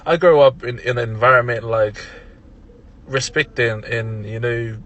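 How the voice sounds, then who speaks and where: Young Tongan man, easygoing podcast guest, mid-pitched voice, chuckles between thoughts. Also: unhurried, a bit bright, lo-fi sound. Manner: laid-back, laughs often, many restarts. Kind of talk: casual interview chat.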